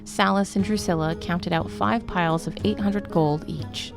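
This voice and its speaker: A woman narrating a scripted fantasy story in a steady storytelling manner. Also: lowish voice, normal speed, creaky, over music.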